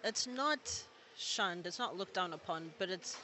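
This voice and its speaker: A young man from Kenya chatting as an interview guest, relaxed and talkative, with plenty of "like" fillers. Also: sits high, unhurried, a little hiss or hum. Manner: relaxed, chatty, many "like" fillers, laughs easily. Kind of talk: casual interview conversation.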